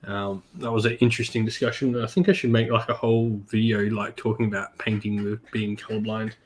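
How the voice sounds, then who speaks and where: A man hosting a casual hobby stream, a little croaky from being sick, laid-back with lots of ums. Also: lowish voice, level tone, brisk. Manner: laid-back, many ums, greets chat viewers by name. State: slightly croaky from illness. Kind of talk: casual live-stream chat while painting miniatures.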